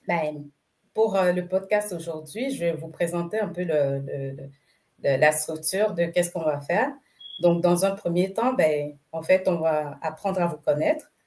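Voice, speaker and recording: A woman hosting a podcast interview, with a notably low voice. She speaks hesitantly, filling her sentences with fillers and repeating words as she sets up her questions. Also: a little mellow. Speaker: podcast host.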